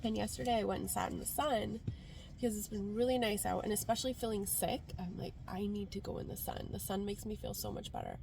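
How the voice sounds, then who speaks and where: A woman's voice: mid-range voice, very animated, fast talker, vocal fry, a little mellow, rough recording. Female vlogger from Canada, congested, chatty and informal, recorded in a car with light ambient noise. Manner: informal, rambling, frequent "like". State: congested from a cold. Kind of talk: casual chatty car vlog. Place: sitting inside a car.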